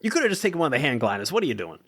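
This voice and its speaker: A man's voice: a little high, sing-song, rapid-fire, fairly bright tone. A man playing an exasperated adventurer who rambles and pleads with sarcasm, in a tabletop game. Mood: exasperated, pleading. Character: exasperated, fast-talking adventurer haggling over deals.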